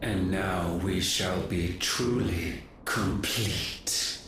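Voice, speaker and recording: An actor playing an ancient, imperious godlike being in a video game, speaking solemnly and menacingly in short, measured phrases. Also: male voice, low-pitched. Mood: solemn, grandiose. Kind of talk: scripted video game cutscene acting. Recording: echoing cavernous reverb, distant, noisy backdrop.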